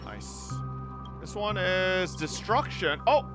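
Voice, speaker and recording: A young man streaming a game, reacting with loud, profane, joking running commentary over game music. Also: sits high, expressive, takes it slowly. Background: game music and dialogue.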